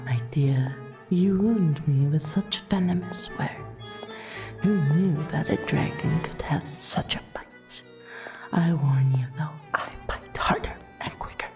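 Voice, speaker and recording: Woman as a slow, teasing vampire royal. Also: low voice, dark tone. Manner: teasing, courtly, slow and breathy. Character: flirtatious, teasing female vampire royal, protective friend. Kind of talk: ASMR roleplay acting.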